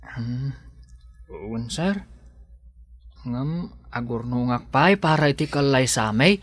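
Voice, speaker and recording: A man's voice: mid-range voice, lively intonation, slow, a bit bright, no room sound. An actor playing a humble, earnest young working man in love, speaking hesitantly and respectfully with an Ilocano accent in a radio drama. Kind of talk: Filipino radio drama acting.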